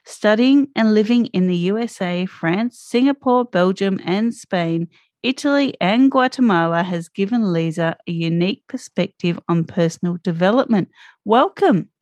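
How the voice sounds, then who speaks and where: A woman's voice: mid-range voice, normal speed, a little mellow, dry recording. Upbeat woman hosting a podcast. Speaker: podcast host. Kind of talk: scripted podcast intro and interview. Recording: dry with faint music behind.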